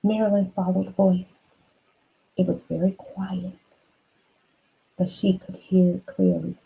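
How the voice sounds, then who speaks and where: A woman reading a children's storybook aloud, unhurried, with dramatic emphasis and chatty asides to the listener. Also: on the low side, little pitch movement, vocal fry, husky, phone-quality sound.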